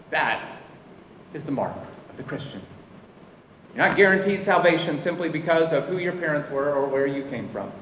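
Pastor delivering a sermon, earnest and deliberate; dull, roomy recording with faint ambience. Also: male voice, a little high, slight background noise. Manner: earnest preaching, slows to stress key lines. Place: church hall. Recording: echoey hall, mic at a distance, dull sound.

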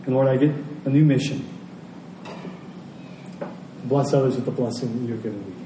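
A man's voice: mid-range voice, mellow. A man preaching a sermon as a pastor, earnest, repeating key phrases for emphasis. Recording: roomy, distant pickup with faint noise.

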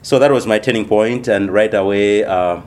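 A man from Malawi, a missionary church planter, answering interview questions in a thoughtful, unhurried way with many pauses. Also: on the low side, darkish tone.

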